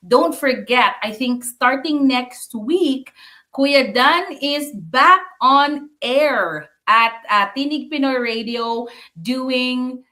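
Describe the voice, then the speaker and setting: A woman's voice: medium pitch, sharp tone. A Filipino woman whose first language is Bisaya, chattily hosting a live talk show with a Filipino accent and some Tagalog mixed in. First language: Bisaya (Waray). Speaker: radio show host.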